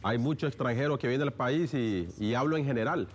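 A man with a Honduran accent hosting a call-in radio show, brisk and wry between calls. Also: medium pitch. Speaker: radio host.

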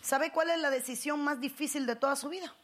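A woman pastor with a Cuban Spanish accent delivers a sermon in a high voice. She calls on the congregation for responses and climbs into emphatic bursts. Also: fairly quick, fairly bright tone. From Cuba.

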